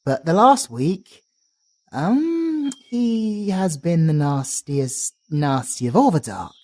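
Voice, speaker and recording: A man's voice: on the high side, sing-song, slow pace, a little mellow, exaggerated voice. A British man voicing a cheerful, chatty male bard in an audio roleplay, doting and slangy as he talks. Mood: grave.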